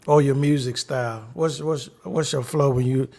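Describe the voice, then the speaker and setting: A man hosting a podcast interview, easygoing and quick to affirm his guest. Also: medium pitch, fairly even intonation, vocal fry, mellow. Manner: easygoing, with frequent affirmations and laughs. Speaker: podcast host.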